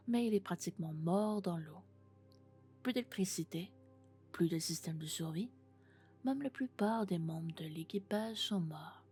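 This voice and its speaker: A woman playing a friendly, doting female robot in an ASMR roleplay, speaking gently and often in whispers. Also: on the low side. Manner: gentle, reassuring, alternating soft speech and whispers. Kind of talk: French ASMR roleplay, soft-spoken and whispered.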